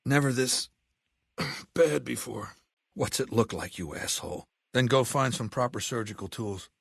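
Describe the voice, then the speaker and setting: A man playing an aging, imperious warlord dictator in a video game, with a measured, commanding and menacing manner. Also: medium pitch, creaky, husky, dry recording, studio quality. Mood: irritated, pained. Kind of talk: video game character dialogue.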